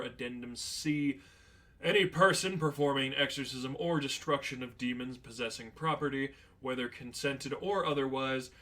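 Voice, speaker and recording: A man's voice: mid-range voice, bright, forceful tone, close to an everyday voice. A man playing a sardonic, unflappable veteran demon hunter in a story reading, matter-of-fact and flippant. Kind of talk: dramatized fan fiction reading.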